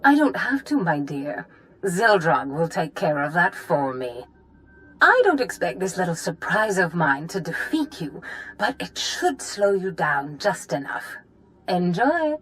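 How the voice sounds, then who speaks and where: Swooping, gloating villainous sorceress. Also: female voice, lowish voice, big pitch swings, measured pace, fairly bright tone, rough recording. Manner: theatrical, purring, taunting. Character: sly, gloating old sorceress villain, mockingly sweet. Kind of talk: video game voice acting.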